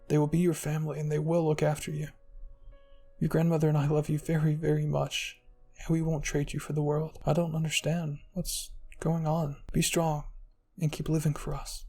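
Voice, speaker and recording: A man voicing a gentle, devoted old grandfather in a story, tender and earnest. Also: fairly high pitch, slightly rough.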